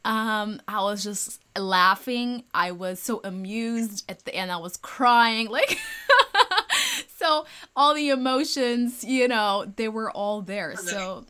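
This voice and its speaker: A woman hosting a casual interview podcast, gushing and excitable as she talks with her guest. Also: a little high, smooth. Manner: gushing, excitable, quick laughs. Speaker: podcast host.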